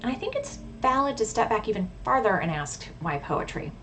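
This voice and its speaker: A woman's voice: a little high, sing-song, a little fast, fairly bright tone. Woman vlogger, noisy recording. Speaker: video blogger. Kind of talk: casual, reflective talk to viewers about hobbies. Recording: clear background noise.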